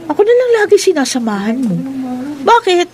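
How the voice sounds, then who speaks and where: A woman's voice: extremely high pitch, big pitch swings, a little fast, a little mellow. An actress playing a young female student who teases a classmate in a radio drama. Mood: teasing. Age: young adult. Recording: noisy radio drama recording.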